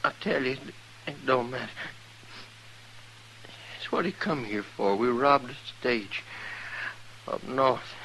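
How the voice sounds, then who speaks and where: An actor playing a mortally wounded, remorseful American outlaw, speaking weakly and haltingly in a 1950s radio western. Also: male voice, mid-range voice, lively intonation, bright, forceful tone, full character voice, rough recording. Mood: pained, weary. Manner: halting, folksy. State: weak, dying. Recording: vintage radio recording with faint noise.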